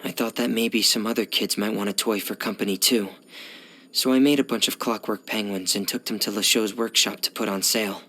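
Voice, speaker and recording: A gentle, withdrawn teenage boy from a video game. His voice sits fairly low, with a bright edge and a lot of soft breath in it. He speaks in a hushed, hesitant way, as a gloomy loner would. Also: steady tone, a little fast. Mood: quiet, wistful. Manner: hesitant, reserved, subdued. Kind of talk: video game character voice lines.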